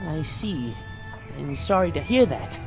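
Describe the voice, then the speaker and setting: A woman's voice: low-pitched, big pitch swings, a little slow, full character voice. A woman voicing a small, eager male lizard envoy in a visual novel, with formal, flourishing, courtly speech. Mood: crestfallen. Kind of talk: visual novel character acting. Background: music.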